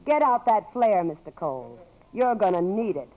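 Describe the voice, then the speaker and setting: An actress playing a wry, blunt, skeptical secretary in a 1950s radio drama. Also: female voice, mid-range voice, big pitch swings, normal speed, over loud music, lightly acted. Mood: wry, probing. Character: wisecracking, skeptical, loyal female secretary. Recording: noisy, dull vintage radio recording.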